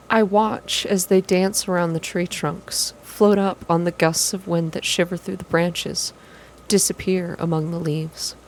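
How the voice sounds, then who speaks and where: A woman reading a visual novel game aloud in an intimate ASMR style, soft and expressive as she hosts her playthrough. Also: on the low side, a little hiss or hum. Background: game music.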